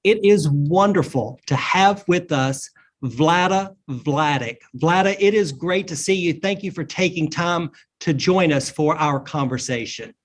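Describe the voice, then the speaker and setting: Male interview host, formal broadcast style, measured and polished delivery. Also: a little high.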